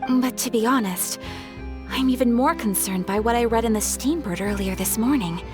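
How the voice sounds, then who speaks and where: A woman's voice: on the high side, normal speed, natural voice. A woman voicing a polite, earnest young film crew member in a video game. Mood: concerned. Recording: prominent game music behind the voice. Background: music.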